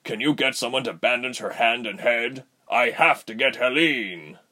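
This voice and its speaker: A man's voice: mid-range voice, moderate pace, pushed and bright, pitched above the performer's own voice. Man acting a desperate, tender young father. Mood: urgent. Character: desperate, tender father fighting to save his wife.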